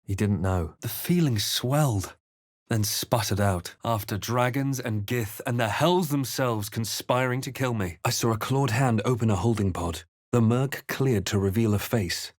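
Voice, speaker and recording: An actor playing a solemn adventurer who recounts a dark fantasy journey in measured, literary game narration. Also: male voice, medium pitch, no room sound, studio quality, lightly acted. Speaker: narrating player character. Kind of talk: scripted first-person fantasy game narration.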